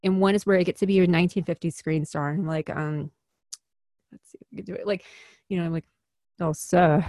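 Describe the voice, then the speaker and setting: Guest actor, woman with a Chicago accent, self-deprecating and laughing often; slightly low voice, dry recording. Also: fairly even intonation, fast, dark tone, dry recording. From US Midwest. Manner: chatty, giggly, self-deprecating. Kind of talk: casual podcast interview.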